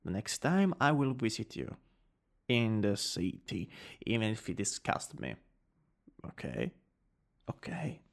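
A man with an Italian accent playing a teasing, soothing country-boy lover in an improvised ASMR roleplay, murmuring intimately in a breathy, raspy whisper. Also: lowish voice, huge pitch swings, heavily acted. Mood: teasing, reluctant. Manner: slow, hesitant, intimate murmuring.

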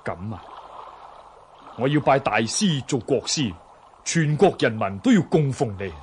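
An actor playing a lordly, generous Chinese emperor in a radio drama, with a stately, commanding manner. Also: male voice, mid-range voice, big pitch swings, unhurried, a little hiss or hum. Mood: generous, insistent. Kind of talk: Cantonese radio drama acting.

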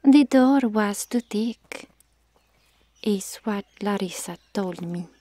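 A woman playing a nosy, teasing Amazon warrior sister in an ASMR roleplay, speaking softly and often whispering. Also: mid-range voice, takes it slowly, dry recording, close to an everyday voice. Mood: defensive, curious. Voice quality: soft, breathy, often whispering. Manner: inquisitive, needling.

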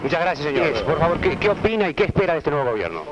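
A man's voice: fairly high pitch, sing-song, racing, a crowd in the background. A young man with an Argentine accent doing formal, insistent vox pop interviews as a street reporter on old news film. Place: outdoors on a busy city street. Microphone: handheld microphone. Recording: dull noisy 1970 archive newsreel audio.

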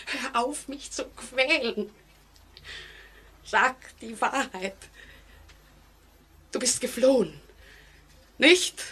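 An actress playing a terrified, guilt-ridden woman in an old radio play, speaking haltingly with melodramatic anguish. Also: high voice, fairly bright tone, breathy edge, full character voice. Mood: pleading. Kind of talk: 1940s German radio play acting. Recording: noisy old 1949 radio recording.

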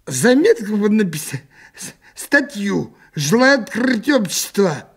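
A man voicing a pompous drunk official in a satirical story, slurred, halting and drawn-out. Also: high voice, big pitch swings, a bit bright, breathy edge, voice pushed up, full character voice. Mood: tipsy, solemn. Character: swaying drunk pompous male board official.

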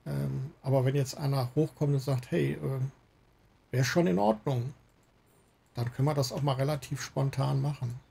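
A man's voice: medium pitch, moderate pace, vocal fry, mellow, breathy edge. A man hosting a gaming livestream, chatting casually with guests and viewers. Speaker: livestream host. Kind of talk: casual gaming stream chat. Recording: faint background noise.

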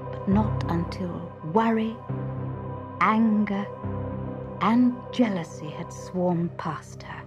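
Female storyteller for a children's myth: measured, slow, expressive delivery with music underneath. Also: medium pitch. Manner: expressive, deliberate, hushed at times. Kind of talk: scripted dramatic story narration.

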